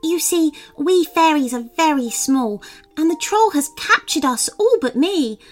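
A woman's voice: sits high, animated, a little slow, soft background music, voice pushed up. A woman voicing a tiny, urgent and pleading fairy in a children's story.

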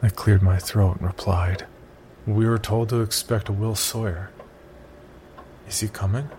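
A man playing the uneasy, cautious narrator of a scripted horror story, telling it in a gravelly voice. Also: on the low side, fairly even intonation, unhurried, dark tone, slight background noise, lightly acted. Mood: hushed, cautious. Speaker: first-person narrator and lead character.

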